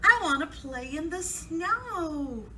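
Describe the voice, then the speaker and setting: A woman's voice: very high-pitched, big pitch swings, slow, sharp tone, slight background noise, pitched above the performer's own voice, heavily acted. A woman voicing an eager young boy frog in a children's storybook, exclaiming in an insistent sing-song way. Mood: excited, eager.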